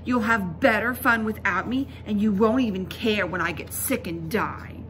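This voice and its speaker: A woman's voice: medium pitch, normal speed, a little hiss or hum, close to an everyday voice. A woman voicing an indignant, headstrong young girl in a storybook, protesting with heavy emphasis. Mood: grumpy. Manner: grumping, loud, emphatic protests.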